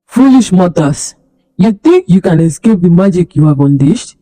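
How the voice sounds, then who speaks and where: A woman voicing a malicious dark spirit that taunts and threatens. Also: lowish voice, very animated, normal speed. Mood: menacing. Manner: menacing, taunting. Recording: long hall reverb.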